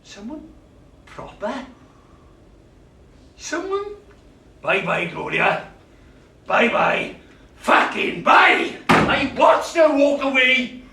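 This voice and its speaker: An actor playing a volatile, violent jilted man. He swears heavily and swings from hushed confiding to roaring rage. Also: sits high, a little hiss or hum, heavily acted. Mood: bitter. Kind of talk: theatrical monologue. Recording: echoey room, distant mic, music behind.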